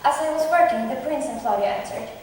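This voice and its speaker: An obedient stage henchman played by a woman with a high voice and matter-of-fact delivery. The voice is distant and washed in hall reverb. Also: fast talker, darkish tone, close to an everyday voice. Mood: informative. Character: obedient henchman bringing secret reports. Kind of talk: amateur stage acting of modernized Shakespeare. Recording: distant, very echoey hall, faint noise.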